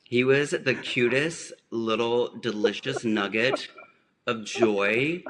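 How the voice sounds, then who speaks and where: A man's voice: medium pitch, slow, background noise. A man co-hosting a podcast, chatting in a sassy, campy, teasing way. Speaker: podcast co-host. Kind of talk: casual chat.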